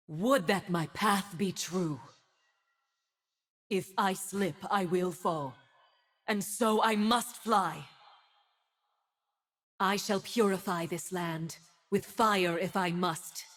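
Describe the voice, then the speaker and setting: A woman's voice: fairly low pitch, takes it slowly, pauses often. A woman playing a stern, zealous divine winged warrior in a video game, making solemn, grandiose declarations. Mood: solemn, resolute. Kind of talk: video game character voice lines.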